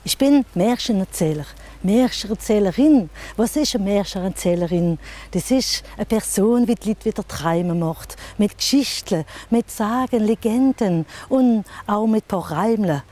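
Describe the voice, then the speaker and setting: A woman's voice: medium pitch, expressive, moderate pace, dark tone. A middle-aged woman with an Alsatian accent, a storyteller whose first language is Alsatian, talking about her work and telling a fairy tale in a lilting way. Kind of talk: storytelling and interview.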